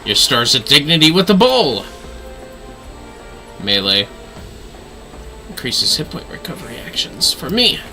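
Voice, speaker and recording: A man's voice: mid-range voice, very animated, unhurried, long pauses, pushed and bright, faint music behind, low-quality recording. A man livestreaming a video game with casual running commentary.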